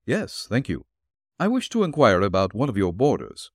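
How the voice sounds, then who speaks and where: A man voicing a calm, shrewd detective who questions dryly and evenly. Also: fairly low pitch, no room sound. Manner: measured, dry questioning.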